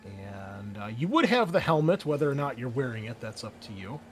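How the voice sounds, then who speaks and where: A man running a tabletop roleplaying game, speaking informally in halting, hesitant phrases as he sets scenes and guides players. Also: mid-range voice, very animated, soft background music. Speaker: game master.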